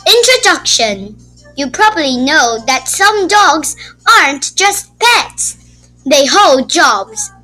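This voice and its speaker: A woman reading a children's nonfiction book aloud as host, with deliberate, simple phrasing. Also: sits high, very animated, pushed and bright, soft background music, poor audio. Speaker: YouTube read-aloud host.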